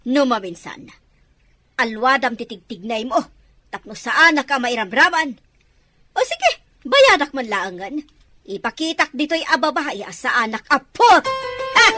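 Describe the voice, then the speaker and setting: A woman's voice: a little high, bright, forceful tone, full character voice. A woman playing a haughty, hot-tempered middle-aged mother in a Filipino radio-style drama, scornful and scolding. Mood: annoyed.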